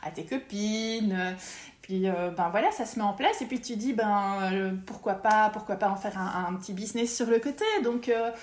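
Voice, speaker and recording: A woman's voice: mid-range voice, moderate pace, reverberant. A woman, a feng shui consultant, talking casually in an interview and explaining her work with many hesitations and restarts.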